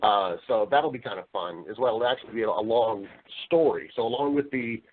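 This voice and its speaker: A man's voice: fairly low pitch, brisk, phone-quality sound. A man speaking as a voiceover professional and interview guest, chatty and conversational, with some self-deprecating humor.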